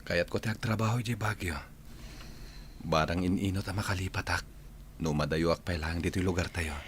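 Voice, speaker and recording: A man's voice: deep voice, fairly even intonation, fairly quick, slight background noise, lightly acted. A man playing a respectful, dutiful grown son in a radio drama, with an Ilocano accent. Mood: respectful, earnest.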